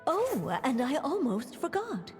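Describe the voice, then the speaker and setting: A woman playing a calm, tender storytelling witch, narrating a fairy tale in a video game in a measured, solemn manner with long reverb. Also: sits high, soft background music, exaggerated voice. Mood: gently playful.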